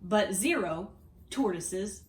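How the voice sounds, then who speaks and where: A woman reading a children's storybook aloud as a read-aloud host, expressive and deliberate, with dramatic pauses and emphasis. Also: medium pitch. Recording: roomy echo, distant microphone.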